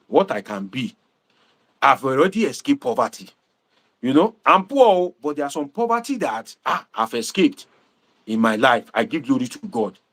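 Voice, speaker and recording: A Nigerian man hosting a livestream, talking to viewers in a preachy, animated way and dropping Pidgin asides. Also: a little high. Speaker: livestream host and political commentator.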